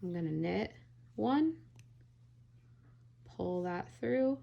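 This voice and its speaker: A woman giving a relaxed, step-by-step knitting tutorial, often checking in with "all right?". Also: medium pitch, very slow, creaky, dull audio. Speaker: knitting instructor.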